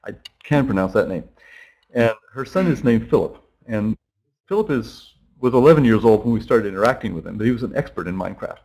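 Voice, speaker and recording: A man's voice: on the low side, expressive, fairly quick, a little mellow, poor audio. A middle-aged man, a teacher being interviewed, explaining in a loose conversational way with frequent fillers and restarts. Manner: rambling, thinking aloud with many restarts and fillers.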